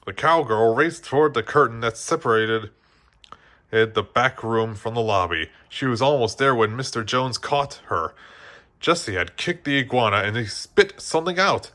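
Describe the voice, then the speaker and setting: A man's voice: mid-range voice, lively intonation, normal speed, sharp tone. A man reading a children's story aloud as scripted narration, now and then stumbling over a word. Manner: occasionally stumbles over words and rereads. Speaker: storybook reader.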